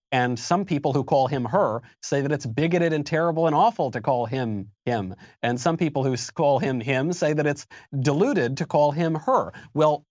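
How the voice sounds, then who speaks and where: A man's voice: medium pitch, darkish tone, dry recording, highs cut off. A man being interviewed as a guest author, making his case in an argumentative, emphatic way. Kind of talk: political interview.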